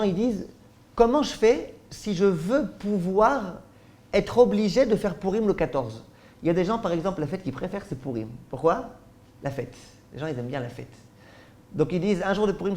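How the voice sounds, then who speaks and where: A man's voice: a little high, a little mellow. Man explaining with rhetorical questions. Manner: frequent checks with listeners ("d'accord?"). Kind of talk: spoken Torah lesson.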